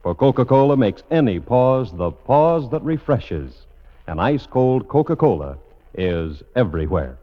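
A man's voice: medium pitch, sing-song, a little slow. An American man announcing a 1950s radio show from a script in a polished, formal manner. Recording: dull vintage radio broadcast with music behind. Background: music.

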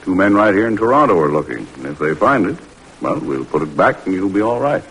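A man's voice: deep voice, big pitch swings, a little fast, a bit bright, a little hiss or hum, poor audio. A man playing a tired, kindly, candid family doctor in a 1940s radio drama. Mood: reassuring.

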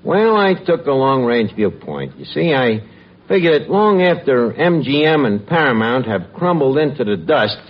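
An actor playing a wisecracking, slangy bartender in a 1940s radio comedy. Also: male voice, medium pitch, sing-song, normal speed, lightly acted. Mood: wry. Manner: folksy, ungrammatical, wisecracking. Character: wisecracking, slangy male bartender running a tavern. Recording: muffled, very noisy vintage radio, audience.

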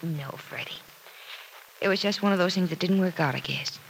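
Sensible confidante, vintage radio drama. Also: female voice, on the low side, moderate pace, vocal fry. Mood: gentle, reassuring. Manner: reassuring, plain-spoken, gently wry. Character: sensible, kindly, gently wry single woman confidante. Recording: noisy old radio show recording.

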